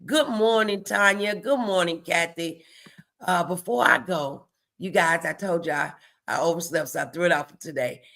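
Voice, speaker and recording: A woman's voice: on the low side, moderate pace, vocal fry, fairly bright tone. A woman hosting a faith-based motivational livestream, speaking emphatically and repeating key phrases to exhort her listeners.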